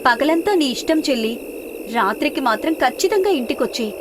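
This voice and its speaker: Gluttonous elder sister, voiced by a woman storyteller, high voice, guileless, shameless, moral story. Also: lively intonation, a little fast, noisy background. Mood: pleading.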